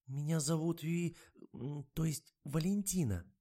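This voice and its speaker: A man voicing a young woman investigator, speaking in a wry, measured way. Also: fairly high pitch, dark tone, pitched above the performer's own voice. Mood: wary. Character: young woman posing as journalist, wary and dry.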